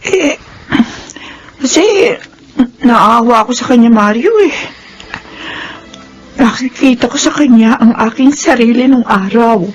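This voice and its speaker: An actress with a Filipino accent playing a chatty, nosy but soft-hearted landlady in a radio drama. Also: fairly high pitch, unhurried, long pauses, lo-fi sound, faint music behind, close to an everyday voice. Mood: sympathetic. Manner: inquisitive, familiar.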